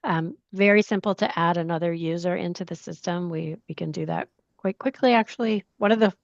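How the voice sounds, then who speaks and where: A woman who is a little hoarse, explaining tech support steps in a webinar Q&A. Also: on the low side, a little fast, no echo, lo-fi sound. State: hoarse, losing her voice.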